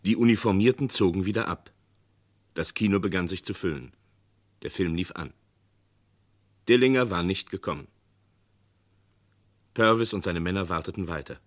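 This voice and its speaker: A man giving measured, dramatic narration of a radio crime play. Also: sits low, fairly bright tone.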